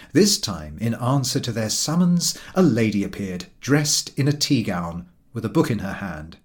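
A man reading a humorous literary story as an audiobook narrator, in a measured, theatrical storytelling manner. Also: mid-range voice, a little mellow. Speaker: audiobook reader.

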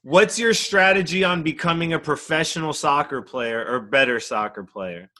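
A man interviewing a former player in a relaxed, jokey, encouraging way. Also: fairly high pitch, moderate pace. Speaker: interviewer, coach. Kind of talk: casual interview questions.

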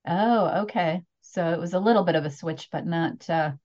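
A woman's voice: fairly low pitch, moderate pace, a little mellow, lo-fi sound. A woman hosting an interview podcast, enthusiastic and encouraging as she asks questions. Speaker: podcast host.

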